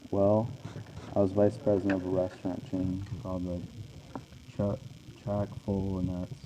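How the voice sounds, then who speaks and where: A man's voice: sits low, completely flat delivery, normal speed, noisy background, lightly acted. A man playing a 1940s baseball star in a radio interview, speaking in a plain, earnest, recited way. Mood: earnest, matter-of-fact. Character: famous 1940s male baseball player being interviewed. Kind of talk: amateur scripted radio interview.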